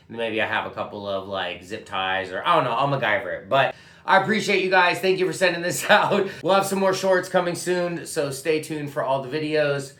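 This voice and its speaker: A man's voice: medium pitch, fairly bright tone. A man giving a casual hands-on gadget review as host, speaking enthusiastically in informal slang with punchy interjections.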